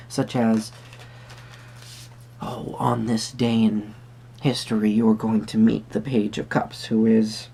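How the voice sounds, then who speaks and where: A creaky, gravel-edged voice at a middling pitch gives a roleplay tarot reading. The delivery is hesitant and musing, with wordy, formal phrasing, over noticeable background noise. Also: dark tone. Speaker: tarot reader host. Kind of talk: soft-spoken roleplay tarot reading addressed to the viewer.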